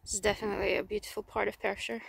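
A woman talks as she walks up a hill trail, musing and often half-whispering to the camera. Her voice is gravelly with creak, and her pitch stays flat and even. The outdoor recording carries clear noise behind her. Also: rapid-fire, poor audio. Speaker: hiking vlogger. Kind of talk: casual hiking commentary.

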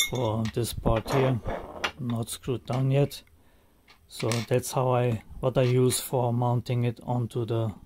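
A man's voice: mid-range voice, warm tone. A man talking through his DIY build in a home workshop, thinking aloud with many fillers, restarts and some phrasing. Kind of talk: unscripted workshop walkthrough.

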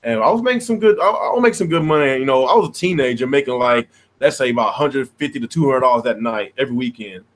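An American man in his thirties hosting a casual gaming podcast with informal slang and animated banter. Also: mid-range voice, quick pace. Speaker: podcast host. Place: small game room at home, indoors.

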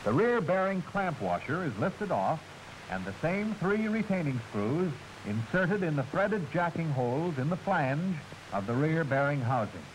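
A man's voice: on the high side, big pitch swings, a little slow. Hissy 1940s American male instructional narrator. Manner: formal, clearly enunciated, instructive. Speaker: training film narrator. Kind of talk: scripted instructional narration, 1940s military film. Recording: hissy, noisy 1940s film soundtrack.

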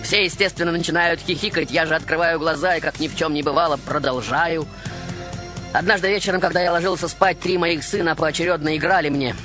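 A man playing a proud, stubborn baroque composer, telling his story as a theatrical radio monologue with rhetorical pauses. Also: a little high, bright, forceful tone, faint music behind. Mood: playful, confiding. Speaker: radio show host in character. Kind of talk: dramatic first-person radio monologue.

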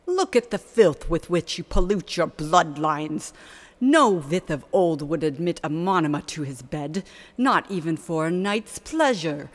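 A man's voice: on the high side, animated, measured pace, pitched above the performer's own voice. A man voicing an ageless, evil immortal queen in an audiobook, with a scornful, imperious manner. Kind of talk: audiobook character voice. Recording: long hall-like reverb.

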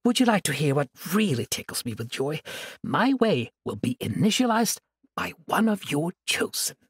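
A woman voicing a cruel, gloating sorceress who taunts her captive in a sweetly menacing, drawn-out way. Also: sits low, big pitch swings, husky, no echo, heavily acted.

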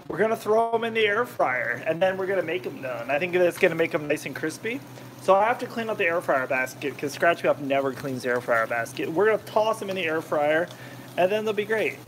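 A man's voice: high voice, fairly bright tone, a little hiss or hum, rough recording. A man rambling and joking with his live chat while cooking in his home kitchen. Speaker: cooking vlogger. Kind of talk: casual cooking livestream chat.

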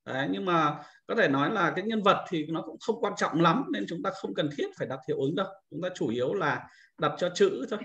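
A man's voice: fairly high pitch, lively intonation, a little fast, fairly bright tone, highs cut off. A man giving an informal screen-recorded tutorial as an instructor, explaining things step by step and often repeating himself.

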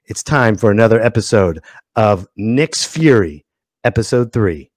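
A man's voice: on the low side, measured pace, no room sound. A man in his thirties hosting a comedy show in a casual, exclamatory way, speaking close to a podcast microphone. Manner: animated, exclamatory. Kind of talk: casual comedic monologue and interview. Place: indoor home studio, dry. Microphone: close podcast microphone, headset.

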